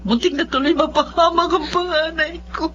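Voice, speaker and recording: A woman's voice: extremely high pitch, steady tone, moderate pace, fairly bright tone, slight background noise. An actress playing a remorseful, hot-tempered middle-aged mother in a Filipino radio drama, with a Filipino accent. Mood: ashamed, pleading. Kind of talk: Filipino radio drama acting and first-person narration. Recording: dull lo-fi radio sound, music underneath.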